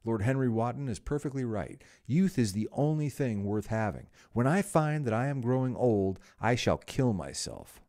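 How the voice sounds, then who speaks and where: A vain young man full of dread about losing his youth, as a male reader puts him on. He pleads in a low, dark voice that swings widely between pitches and lifts a little above the reader's own. His delivery is melodramatic and anguished. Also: very animated, moderate pace. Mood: bitter.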